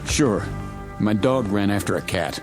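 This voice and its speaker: Animated, suspicious, impatient male reporter. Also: on the low side, unhurried, over music. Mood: suspicious, curt. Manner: curt, guarded, impatient. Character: suspicious, irritable male newspaper reporter stranded in town. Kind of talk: audio drama acting.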